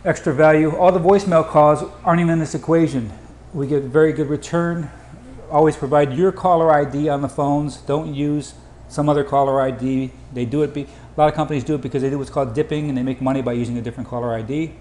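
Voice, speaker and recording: A man giving an informal sales presentation over slides, with hurried, clipped phrasing. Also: fairly high pitch, steady tone, darkish tone. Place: indoor room, voice-over for slides. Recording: roomy echo, faint background noise.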